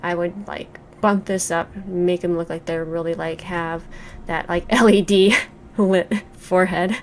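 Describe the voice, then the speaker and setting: A woman's voice: on the low side, steady tone, measured pace, slight background noise. A woman in her forties giving casual, thoughtful commentary on a game she is playing, full of ums and likes. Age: 40s. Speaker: game reviewer. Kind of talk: casual let's play commentary.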